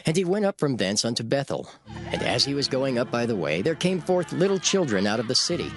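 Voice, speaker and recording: Expressive male scripture narrator. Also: mid-range voice, fairly quick, a crowd in the background. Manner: formal storytelling of archaic text.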